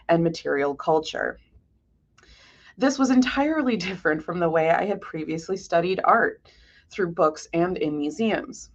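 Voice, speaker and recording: Woman, guest lecturer, fairly low pitch, gravelly fry, measured scholarly phrasing, slightly muffled recording. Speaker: guest lecturer, art historian and curator. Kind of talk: prepared museum lecture.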